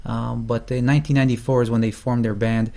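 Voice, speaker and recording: A man hosting a folk music program, introducing a guest in a loose, halting way with many fillers and false starts. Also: medium pitch, little pitch movement, vocal fry, mellow. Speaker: host. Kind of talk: casual spoken introduction.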